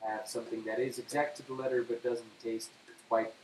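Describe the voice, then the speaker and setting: A man's voice: mid-range voice, flat delivery, brisk, darkish tone. A man chatting casually as a co-host helping out with the cooking. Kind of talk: casual chat. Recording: echoey room with faint hiss.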